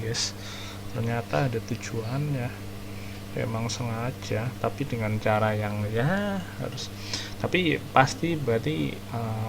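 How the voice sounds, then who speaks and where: A man's voice: medium pitch, creaky, background noise. A man with an Indonesian accent giving casual, slangy reaction commentary as a gaming streamer. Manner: slangy, informal. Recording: game music behind the voice.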